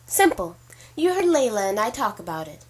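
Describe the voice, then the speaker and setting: Husky, skeptical, stressed heroine played by a woman. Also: a little high, sing-song, normal speed. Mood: skeptical, sarcastic. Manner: incredulous, combative, sarcastic. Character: stressed, skeptical, defiant woman heir confronting a sorcerer. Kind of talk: audio drama acting.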